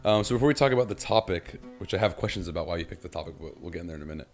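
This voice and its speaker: A man's voice: deep voice, lively intonation, rapid-fire, faint music behind. A young man hosting a faith podcast, chatting casually and loosely, with lots of "kinda" and "like". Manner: conversational, loose with fillers like "kinda" and "like". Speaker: podcast host. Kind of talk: casual chat.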